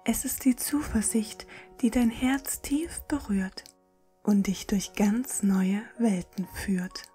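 A woman narrates a bedtime poem in a breathy, gentle whisper, soothing and rhythmic, much like ASMR. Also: medium pitch. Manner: gentle, soothing, slow rhythmic verse delivery. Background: music.

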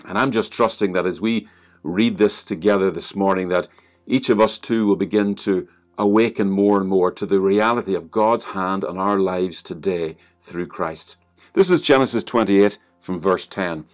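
A man's voice: deep voice, moderate pace, soft background music. A middle-aged man with an Irish accent preaching an online sermon from his living room, reading scripture and building to emphatic exclamations, recorded on a webcam. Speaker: pastor. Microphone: webcam at desk distance.

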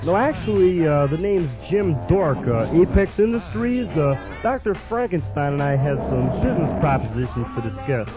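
Man as a high-voiced, nervous young salesman. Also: sing-song, moderate pace, few pauses, music in the background. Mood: awkward. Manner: hesitant, stammering. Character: eager, nervous, naive young travelling salesman. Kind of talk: comic radio play acting.

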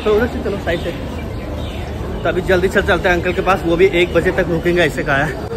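A young man with a slightly high voice talks casually, like a travel vlogger addressing friends. He is recorded outdoors on a handheld camera, with clear station and traffic noise around him. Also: steady tone, a little fast. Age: 20s. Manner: informal, chatty, addressing viewers as brother. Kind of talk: casual travel vlog chat. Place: outdoors at railway stations and on the road. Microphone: handheld camera at arm's length. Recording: noisy outdoor station and vehicle ambience.

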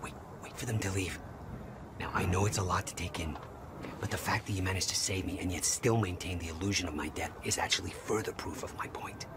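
An actor as an urgent, low-voiced male scientist. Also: fairly quick, noisy background, natural voice. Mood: hushed. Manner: urgent, explanatory, breaking into hushed whispers. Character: intense, insistent male scientist, older brother of the hero. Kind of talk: cinematic video game acting.

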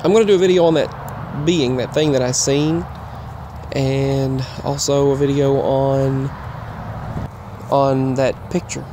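A man giving a casual, rambling update while walking outdoors, halting and often repeating himself. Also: mid-range voice, fairly even intonation. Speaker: vlogger. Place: outdoors, walking in a grassy yard near roads. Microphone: earbuds microphone with a phone. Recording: clear outdoor traffic noise. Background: passing traffic.

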